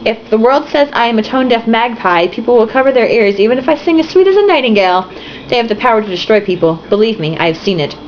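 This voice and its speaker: A woman's voice: fairly high pitch, lively intonation, quick pace, slight background noise, natural voice. A woman voicing a dramatic female stage star with a grand, affected manner, in a storybook reading, on a slightly muffled home recording.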